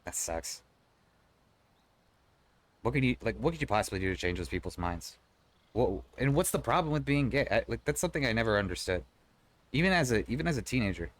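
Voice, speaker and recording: A man's voice: medium pitch, big pitch swings, a little fast, pauses often. A man live-streaming, chatting casually with his viewers and answering their messages in a loose, rambling way. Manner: conversational and rambling, frequent restarts, swears casually.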